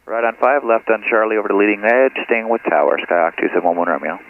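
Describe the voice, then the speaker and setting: A man's voice: lowish voice, lively intonation, fast talker. A man who is a pilot, reading back tower instructions in clipped radio phrases. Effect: aviation radio. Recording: tinny radio audio with loud noise.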